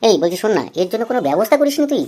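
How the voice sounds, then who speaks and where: A man's voice: high voice, very animated, racing, mellow. A man playing a chatty, teasing, thrifty husband in his thirties in an amateur comedy cartoon. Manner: chatty, coaxing.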